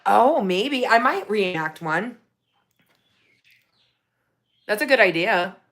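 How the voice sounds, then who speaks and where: A woman hosting a casual livestream from her phone, reading viewer comments, her voice going raspy from talking so long. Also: medium pitch, slow pace. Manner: chatty, excited when thanking viewers for gifts. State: losing her voice, getting raspy. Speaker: livestream host.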